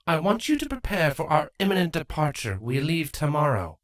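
A woman voicing a stern changeling queen and mother in a storybook reading, measured, formal and commanding. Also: low-pitched, big pitch swings, voice pushed up.

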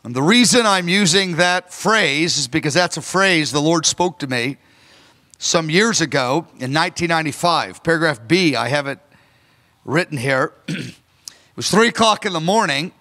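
A man's voice: fairly high pitch, sharp tone. A man in his fifties preaching a sermon on a large church stage into a handheld microphone, earnest and emphatic. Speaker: pastor. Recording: long reverb of a large hall.

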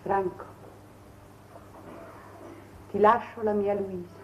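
An actress playing a frail, pious older mother in an old Italian television drama, speaking gently, solemnly and tenderly. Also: mid-range voice, fairly even intonation, slow pace, soft background music, lightly acted. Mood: tender, serene. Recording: dull 1950s TV soundtrack.

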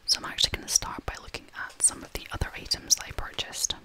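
Young woman, whispered voice, ASMR vlog, unhurried, informal, chatty. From half Scottish, half American. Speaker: vlogger showing purchases. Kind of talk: whispered ASMR shopping haul.

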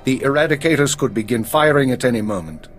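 An actor playing a grave, authoritative spymaster in a video game, clipped and formal. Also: male voice, mid-range voice, expressive, quick pace, faint music behind, lightly acted. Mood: grave, stern.

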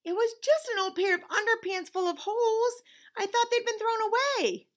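A woman's voice: very high-pitched, steady tone, normal speed, pitched above the performer's own voice. A woman voicing a startled, sheepish male storybook lizard who protests defensively.

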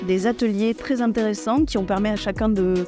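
A middle-aged woman, a training center director, speaking in a lively way in an interview. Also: medium pitch, brisk, over music.

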